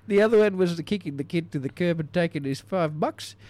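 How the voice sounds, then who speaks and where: A man's voice: fairly high pitch, fairly quick, a little mellow, no room sound. A man co-hosting a comedy podcast, asking questions in a playful, mock-formal way. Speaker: podcast co-host.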